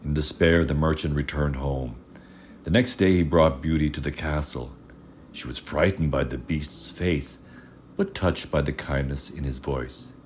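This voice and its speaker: A man reading a fairy tale aloud as storyteller, measured and expressive in his scripted narration. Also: rumbling low, a little hiss or hum.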